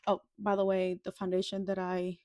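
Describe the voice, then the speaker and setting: A woman's voice: mid-range voice, completely flat delivery, moderate pace, mellow, no echo, studio quality. A woman in her twenties from the Dominican Republic, chatting casually and ramblingly as a vlogger getting ready. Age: 20s. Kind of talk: casual get-ready-with-me chat.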